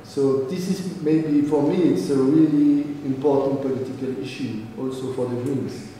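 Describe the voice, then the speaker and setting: A man whose first language is French, speaking haltingly with many restarts as a panelist at a seminar. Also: mid-range voice, fairly even intonation, mellow, recorded from a distance, slight background noise. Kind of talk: discussion answer. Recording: echoey hall, recorded from afar.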